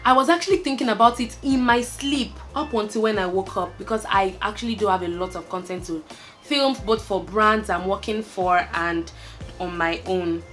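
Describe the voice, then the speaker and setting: Young Nigerian woman, candid vlogger, mid-pitched, faint music underneath. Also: normal speed, fairly bright tone. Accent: Nigerian, Lagos. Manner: chatty, candid, laughs often, trails off with fillers. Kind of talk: casual chat to camera, answering a tag list.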